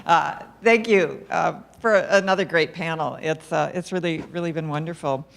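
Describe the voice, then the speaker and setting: Woman in the audience with a very low voice, effusive with praise, off-mic. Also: moderate pace, creaky. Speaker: audience member asking a question. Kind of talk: question from the floor.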